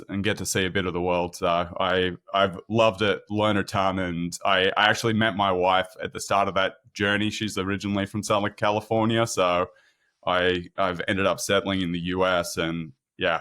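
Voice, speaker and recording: A man's voice: low-pitched, a bit bright, clean tone. A man in his thirties with an Australian accent, chatting as a guest on a podcast, friendly and often repeating words as he starts a thought. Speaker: guest, product marketing manager. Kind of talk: casual podcast interview.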